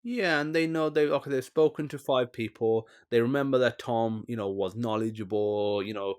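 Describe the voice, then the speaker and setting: A man's voice: mid-range voice, steady tone, moderate pace, smooth. A man hosting a podcast interview, giving advice in a loose, rambling way with lots of restarts. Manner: rambling, many restarts and "you know". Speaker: podcast host. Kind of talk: casual interview chat.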